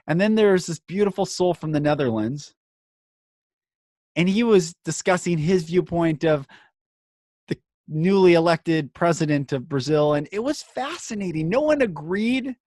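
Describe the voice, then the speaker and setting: A man's voice: fairly high pitch, animated, a little mellow, no echo, clean studio sound. Earnest man giving an inspirational podcast monologue. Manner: earnest, emphatic, dramatic pauses and short phrases. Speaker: podcast host and speaker.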